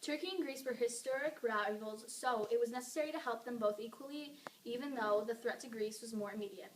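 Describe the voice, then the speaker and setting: A woman's voice: a little high, fairly quick. A young woman reading a scripted amateur newscast as the anchor. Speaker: newscast anchor in a history project. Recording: distant mic with faint noise.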